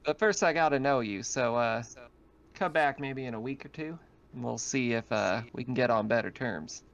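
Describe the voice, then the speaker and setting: A man's voice: mid-range voice, moderate pace, a bit bright, dull audio, close to an everyday voice. A man voicing a folksy, flirty woman who keeps a frontier store in a tabletop game, drawling and wry. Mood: coy, businesslike. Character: folksy, flirty woman liquor store keeper on desert colony.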